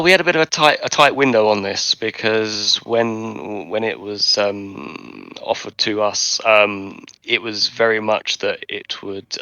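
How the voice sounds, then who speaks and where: A man with a cold and a croaky voice, answering interview questions as a game director, hesitating with many ums. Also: fairly low pitch, pushed and bright, no echo, poor audio.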